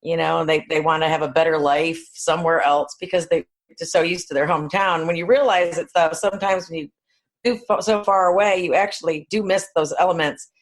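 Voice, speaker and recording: A woman's voice: low voice, steady tone, a little fast. Woman in casual podcast chat. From northern New York State, United States. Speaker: podcast co-host.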